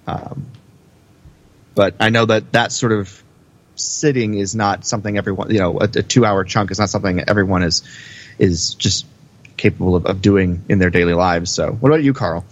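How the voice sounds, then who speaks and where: A man co-hosting a podcast in a casual, halting way, repeating phrases for emphasis. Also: low voice, lo-fi sound. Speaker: podcast co-host.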